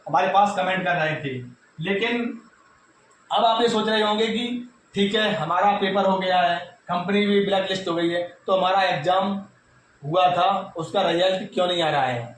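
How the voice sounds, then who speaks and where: A man with a North Indian accent gives an informal explanatory update to students, repeating his points and addressing listeners directly. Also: high-pitched. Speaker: YouTube exam news presenter. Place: reverberant indoor room. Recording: very echoey room, distant mic, faint noise.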